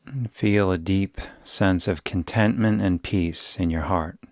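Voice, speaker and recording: A man guiding a yoga nidra meditation in a soothing, unhurried way, giving gentle instructions with long pauses. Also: sits low, no room sound, studio quality. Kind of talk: guided meditation.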